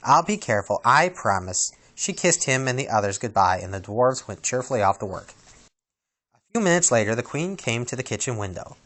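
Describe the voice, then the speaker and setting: A man reading a children's storybook aloud as storyteller, with a dramatic, expressive delivery. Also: medium pitch, a little fast.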